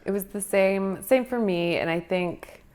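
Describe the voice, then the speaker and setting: A woman's voice: mid-range voice, a little slow, darkish tone. A woman who works as a hairstylist, speaking as a podcast guest in a reflective, somewhat hesitant way. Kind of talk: casual conversation.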